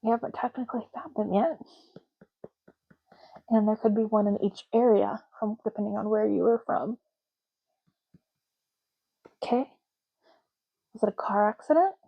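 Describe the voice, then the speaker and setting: A soft-spoken woman doing an ASMR roleplay as a clerk, talking casually and matter-of-factly in unhurried short phrases with pauses. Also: medium pitch, vocal fry, narrow, tinny audio. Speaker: ASMR roleplay host, playing an intake clerk making ID cards.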